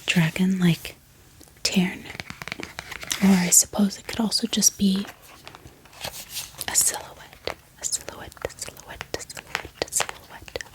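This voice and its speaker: A woman speaking in soft, gentle ASMR style, showing items and softly repeating words. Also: medium pitch, fairly even intonation, slow pace, long pauses, rough recording. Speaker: ASMR book haul host. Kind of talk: soft-spoken whispered ASMR show-and-tell.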